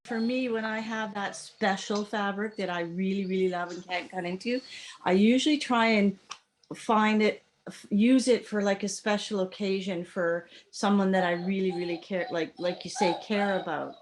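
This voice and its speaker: A woman on a podcast sharing her thoughts slowly and with hesitation, a mid-range voice. A faint hum of noise sits behind her. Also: medium pitch, steady tone. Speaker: podcast co-host. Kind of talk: casual chat.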